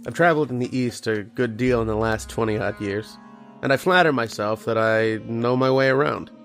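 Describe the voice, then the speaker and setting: A man's voice: medium pitch, moderate pace, fairly bright tone, soft background music, natural voice. A man playing a seasoned American archaeologist in an old-time radio drama, wry, folksy and hearty. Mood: wry, world-weary. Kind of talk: old-time radio drama acting, first-person narration and dialogue.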